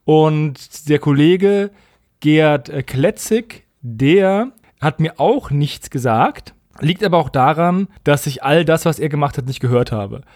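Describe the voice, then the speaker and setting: A man's voice: fairly high pitch, animated, slow, darkish tone, dry recording, clean studio sound. A man co-hosting a podcast in casual, colloquial talk, giving frank opinions. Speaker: podcast co-host.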